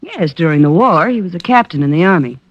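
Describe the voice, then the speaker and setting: An actress playing a nervous young wife, once a nightclub dancer, in an old radio drama. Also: female voice, lowish voice, expressive, quick pace, dry recording. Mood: anxious. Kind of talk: 1950s radio drama acting. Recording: dull lo-fi vintage radio recording.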